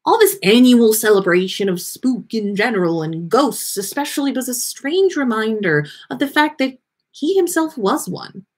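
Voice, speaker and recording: Animated, slightly husky woman reading a story. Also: on the high side, moderate pace. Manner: animated, theatrical emphasis with occasional stumbles. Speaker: podcast host reading listener fan fiction aloud. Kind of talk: expressive story reading on a livestream.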